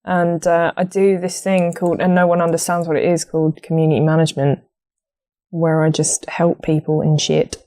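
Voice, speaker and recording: A young woman from France, French-born, speaking with an East End London accent as a podcast co-host, loud, laughing often and swearing in casual chat. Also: low-pitched, level tone, moderate pace, no room sound, studio quality.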